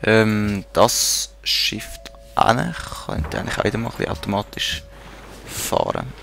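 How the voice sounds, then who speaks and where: Man, Swiss German accent, low gravelly voice, muttering game commentary. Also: a little slow, a bit bright, slight background noise. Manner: mutters to himself and talks to game units. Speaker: let's play gamer. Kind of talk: casual gameplay commentary.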